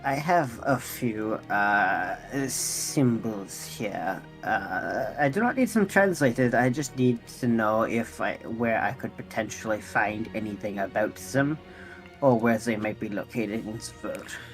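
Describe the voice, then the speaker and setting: A man voicing a polite, earnest robot adventurer with lost memory in a tabletop game, speaking formally and haltingly. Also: on the low side, animated, faint music behind, rough recording. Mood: polite, hesitant.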